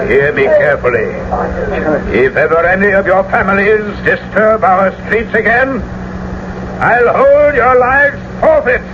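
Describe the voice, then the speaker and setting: An actor playing a threatening, imperious prince in a radio drama, delivering his words as a booming proclamation. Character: stern, commanding, threatening prince. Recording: noisy, muffled old radio broadcast recording.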